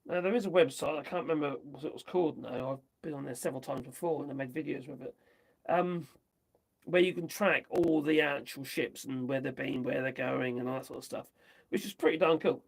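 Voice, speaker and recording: Gravelly man, voice going. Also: medium pitch, fairly even intonation, a little fast. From United Kingdom. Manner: rambling, chatty, asks rhetorical tag questions. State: losing his voice. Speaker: livestream host. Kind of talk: casual commentary on news.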